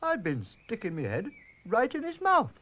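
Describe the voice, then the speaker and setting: A man voicing a plummy, bewildered old English gentleman. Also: sits high, wildly swooping pitch, moderate pace, heavily acted.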